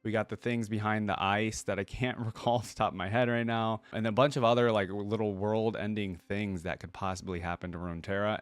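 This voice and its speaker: A man's voice: deep voice, clear, resonant tone, no room sound. A man giving casual reaction commentary as host, thinking aloud in an informal way.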